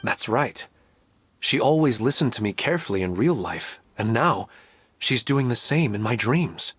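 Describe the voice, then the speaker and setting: An actor voicing a grieving husband in a video game, speaking gently and quietly with a wistful tone. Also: male voice, mid-range voice, lively intonation, normal speed, a little hiss or hum, close to an everyday voice. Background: game soundtrack music.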